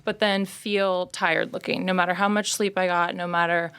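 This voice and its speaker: Smooth-voiced woman in her thirties. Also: on the low side, level tone, moderate pace, fairly bright tone. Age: 30s. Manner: earnest, reflective storytelling. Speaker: podcast guest, patient. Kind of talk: casual interview.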